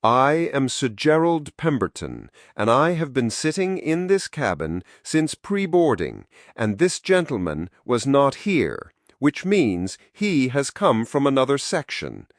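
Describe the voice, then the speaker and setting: Man acting an authoritative older gentleman, unhurried. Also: mid-range voice, big pitch swings, no room sound, studio quality, voice pushed up. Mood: implacable authority. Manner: formal, authoritative. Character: older knighted gentleman, mild yet implacably authoritative.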